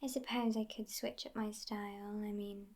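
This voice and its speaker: A woman playing a sassy, aloof, youthful but centuries-old vampire girlfriend in a soft, near-whispered ASMR roleplay. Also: mid-range voice, flat delivery, normal speed, natural voice. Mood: languid, sassy. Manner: languid, aloof, hushed, often near whispering.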